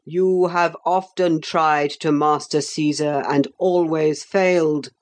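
Lady doing a grand roman commander, real slow and solemn, imperious storybook style. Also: sits low, steady tone. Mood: proud, solemn. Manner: stately, formal, declamatory.

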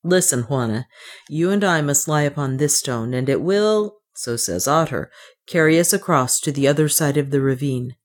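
A grim, steady adventurer of a man, acted by a woman narrator. He speaks in a low register, gravely and firmly, with a kindly streak, as in an audiobook tale. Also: normal speed. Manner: grave, firm. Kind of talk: dramatised audiobook dialogue.

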